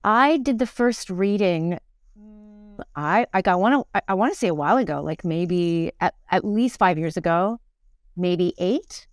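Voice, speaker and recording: Clear-voiced woman of fifty, chatting. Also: lowish voice, expressive, unhurried, no echo, studio quality. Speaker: interview guest, stage actor in musicals and plays. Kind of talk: conversational interview.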